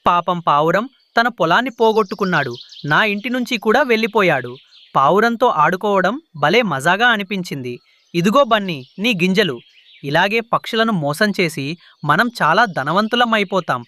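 A man playing a sharp, pushy cartoon crow. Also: sits high, expressive, moderate pace. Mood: gloating. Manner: pushy, scheming, repeats words impatiently. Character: greedy male crow, a bullying swindling landlord. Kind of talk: children's cartoon voice acting.

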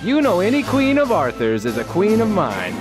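A man's voice: sits high, wildly swooping pitch, moderate pace, music in the background. A man playing a cocky young speedster superhero in a video game, joking and flippant. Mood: playful.

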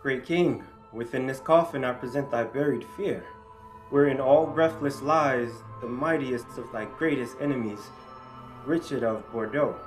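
A man's voice: medium pitch, measured pace, soft background music, close to an everyday voice, poor audio. A man playing a knight who presents a murdered king's coffin, bold and proud. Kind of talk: Shakespeare reading over video call.

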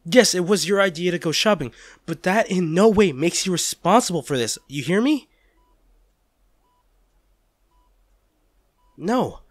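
A man's voice: sits high, expressive, normal speed, no echo. A man playing a devoted, protective partner in an intimate audio drama, tender and reassuring as he comforts an injured loved one. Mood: firm, upset. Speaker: audio roleplay performer.

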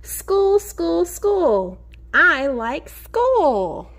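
A woman teacher reading a picture book aloud to young children in an expressive sing-song way, encouraging them and asking them questions. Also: very high-pitched. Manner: expressive, sing-song, drawn-out key words; encouraging, addresses children directly.

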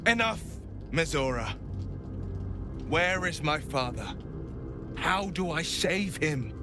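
An actor playing a defiant young hero in a video game, earnest and indignant. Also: male voice, a little high, lively intonation, slow, over music. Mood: angry, pleading. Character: defiant young male hero bound by devil's pact. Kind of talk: video game cutscene acting.

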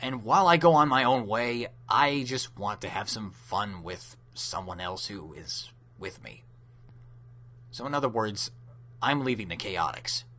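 A man voicing a calm, serious young ninja chameleon for an amateur cartoon demo, speaking in a restrained, deliberate, earnest way. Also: on the low side. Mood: weary, solemn.

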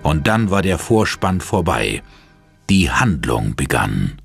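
A man narrating a horror audio drama in a measured, suspenseful, theatrical manner. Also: very deep voice, sing-song, creaky, a bit bright, soft background music, exaggerated voice. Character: male narrator of a horror radio play, ominous and suspenseful.

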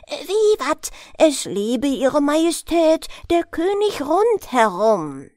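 A woman's voice: high voice, big pitch swings, takes it slowly, a little mellow, no room sound, clean studio sound, voice pushed up, full character voice. A woman voicing a sly, obliging male trickster servant in a fairy tale. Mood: loud, jubilant.

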